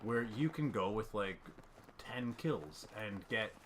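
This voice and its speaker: A man's voice: lowish voice, animated, slow pace, slight background noise. Rambling young man over game gunfire. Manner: rambling, self-deprecating, many restarts. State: fighting off a cough. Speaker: gameplay commentator. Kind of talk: casual voice-over commentary over gameplay. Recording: game gunfire clearly heard behind. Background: game gunfire and ambience.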